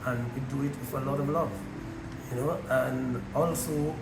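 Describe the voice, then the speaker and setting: A man, his voice still hoarse from the flu, answering interview questions in a halting way and often saying "you know". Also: medium pitch, steady tone, very noisy. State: hoarse, voice not fully back after flu. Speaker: interview guest, marriage encounter presenter. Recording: echoey room with loud music behind.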